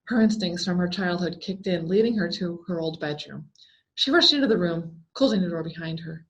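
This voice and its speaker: A woman reading a scary story aloud as a dramatic, expressive scripted narration full of suspense. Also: lowish voice, a little fast, low-quality recording. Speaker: story reader.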